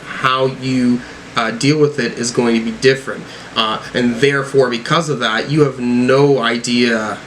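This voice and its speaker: A man giving casual music reaction commentary, halting as he thinks aloud. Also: mid-range voice, a bit bright, slight background noise, rough recording. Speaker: music reviewer reacting to songs.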